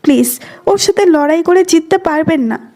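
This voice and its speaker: A woman playing a soft-hearted young wife in an audio story, with a pleading, coaxing manner. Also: extremely high pitch, expressive, moderate pace. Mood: anxious, pleading.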